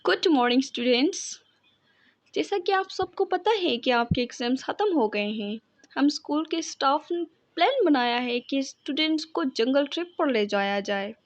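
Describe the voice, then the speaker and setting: A woman's voice: sits high, expressive, normal speed, no room sound. A woman voicing a cheerful, organised female schoolteacher in a cartoon, announcing things to a class.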